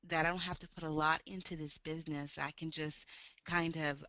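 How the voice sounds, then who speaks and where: A woman's voice: low voice, little pitch movement, a little fast, pushed and bright, no room sound. A woman caller talking casually on the phone, earnest and enthusiastic, feeling her way through her sentences. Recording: dull phone call audio.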